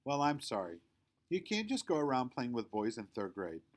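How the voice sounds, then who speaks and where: Storybook role: prissy, self-assured little girl, played by a man, emphatic and bossy, with lively swings in pitch. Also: moderate pace. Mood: lecturing. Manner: emphatic, know-it-all.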